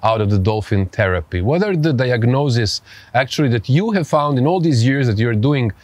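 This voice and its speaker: A man with a Serbian accent speaks as a podcast host in a dry, close recording. His voice sits at a middling pitch. He interviews conversationally, in a halting way that circles back over phrases. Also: lively intonation, a little mellow, dry recording.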